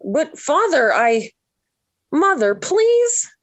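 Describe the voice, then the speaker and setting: An actress playing a dramatic, lovestruck seventeen-year-old daughter, gushing and pleading in 1940s radio sitcom style. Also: female voice, high voice, sing-song, drawn-out words, exaggerated voice. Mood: eager, pleading.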